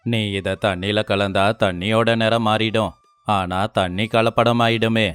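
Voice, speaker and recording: A man's voice: lowish voice, fairly even intonation, a little slow, a bit bright, clean tone, dry recording, soft background music. A man acting an indignant, accusing villager who exposes a fraud in a moral-story drama.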